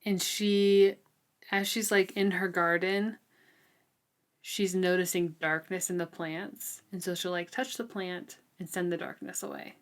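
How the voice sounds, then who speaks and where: Woman, lowish pitch, book podcast co-host, casual discussion, thoughtful, halting delivery. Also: flat delivery.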